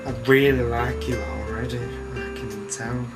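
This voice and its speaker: A man voicing a flirty woman interviewing for a job, gushing with flattery. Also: rumbling low, measured pace, loud music behind the voice. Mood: fawning.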